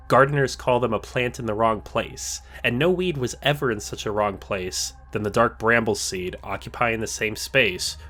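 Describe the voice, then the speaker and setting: Male video essayist, reflective scripted voice-over, deliberate pacing, faint guitar bed. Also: mid-range voice, a bit bright. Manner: measured, reflective, slowing for emphasis. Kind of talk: scripted narration.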